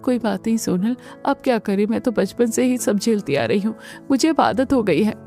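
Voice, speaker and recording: A woman's voice: a little high, lively intonation, normal speed, dark tone, soft background music. A woman voicing a timid, long-suffering young daughter-in-law who turns defiant, with emphatic delivery in a cartoon family melodrama. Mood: resigned, anxious.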